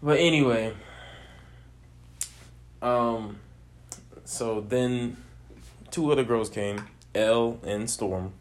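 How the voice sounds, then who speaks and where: A man's voice: medium pitch, takes it slowly, pauses often, slight background noise. Nasal, sniffly man teasing his friend. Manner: teasing, laughs often, animated reactions. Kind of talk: casual chat.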